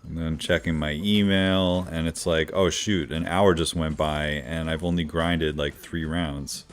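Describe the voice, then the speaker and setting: A man streaming a game live, chatting casually with viewers, with a smooth radio-like voice and a slightly stuffy nose from allergies. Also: extremely low pitch, measured pace. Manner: relaxed, chatty, greets viewers by name. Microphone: decent studio microphone.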